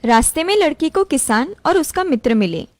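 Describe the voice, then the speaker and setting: A woman's voice: sits high, sing-song, normal speed, faint music behind. A woman narrator reading a scripted moral story for a cartoon.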